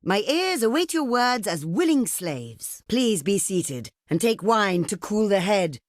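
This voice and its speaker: A woman's voice: medium pitch, sing-song, measured pace, no room sound, studio quality. Haughty, dramatic desert queen. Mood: regal, welcoming. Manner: haughty, theatrical, formal and ornate phrasing. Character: proud, imperious ancient desert queen, scornful and grandly courteous. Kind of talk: video game diplomacy voice lines.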